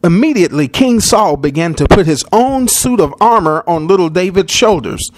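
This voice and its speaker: A man tells a children's Bible story with dramatic delivery. His voice is high for a man. The intonation is lively and sweeps widely as he narrates. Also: normal speed.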